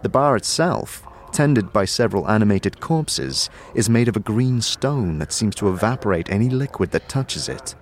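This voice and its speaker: A man's voice: lowish voice, animated, moderate pace, few pauses, slight background noise. A man reading scripted, second-person fantasy narration as the narrator of an audio drama.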